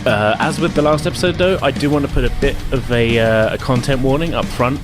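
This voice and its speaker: A man in the host's chair, running the game and recapping in an upbeat, filler-heavy way. His pitch sits in an ordinary middle range. A clear music bed plays under him. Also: a little fast. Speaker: host and game master. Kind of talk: podcast intro and recap.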